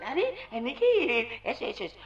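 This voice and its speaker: An actor playing a greedy, shifty landlord in Bengali folk theatre, blustering and repeating himself in an exaggerated way. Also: male voice, extremely high pitch, very animated, normal speed, reverberant, highs cut off, music in the background, full character voice. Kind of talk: Bengali folk theatre (jatra) stage acting.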